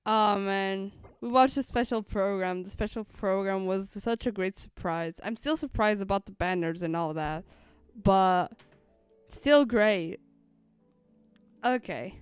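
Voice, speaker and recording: A woman's voice: mid-range voice, a little slow, smooth, no room sound, faint music behind. A woman game streamer giving casual, playful live commentary with somewhat hesitant phrasing.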